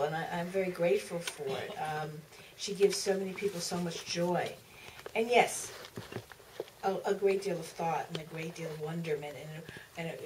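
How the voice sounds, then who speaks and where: Female author on a panel, lowish voice, reflective and halting, heard from off with some room noise. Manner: halting, searching for words, repeats starts of phrases. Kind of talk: reflective Q&A answer. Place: theater stage at a public Q&A. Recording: distant mic, faint room noise.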